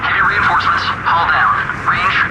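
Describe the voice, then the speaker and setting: An actor playing an alarmed military scout pilot, calling in urgently over the radio in a war game. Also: male voice, a little high, lively intonation, normal speed, lightly acted. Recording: loud aircraft engine noise.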